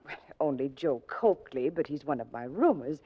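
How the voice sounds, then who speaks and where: An actress playing a kindly, fretful boarding-house landlady in a 1940s radio drama, with a husky voice and a fluttery, chatty manner. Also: low-pitched, very animated, normal speed, exaggerated voice. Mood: flustered. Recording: dull 1940s radio recording.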